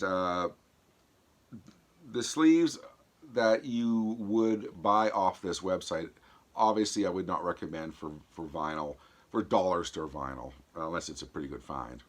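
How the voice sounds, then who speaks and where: A man hosting a casual vlog demonstration, talking haltingly with a voice that comes and goes from a throat infection. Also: low-pitched, a bit bright. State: sore throat from an infection, voice going in and out. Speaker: vlog host. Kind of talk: casual product demonstration to camera.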